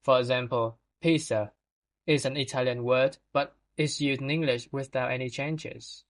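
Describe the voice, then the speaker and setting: A man's voice: medium pitch, steady tone, moderate pace. A man co-hosting a scripted vocabulary lesson, reading out definitions with small stumbles. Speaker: podcast co-host.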